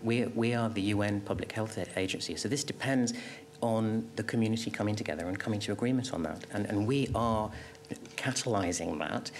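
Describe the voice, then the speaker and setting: A middle-aged man speaking as an expert panelist, answering off the cuff at a table microphone with halting restarts and emphatic repetition. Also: lowish voice. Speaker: panelist, health agency official. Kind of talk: panel discussion answer, spontaneous expert talk. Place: conference auditorium panel table.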